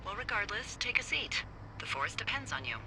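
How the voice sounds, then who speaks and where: A woman's voice: medium pitch, very animated, a little fast, low-quality recording. A woman playing a wry, teasing fire lookout supervisor in a video game, talking playfully over a walkie-talkie. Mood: dry, teasing. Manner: playful, sardonic. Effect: walkie-talkie radio. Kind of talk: naturalistic video game voice acting. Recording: radio-filtered voice with clear background noise.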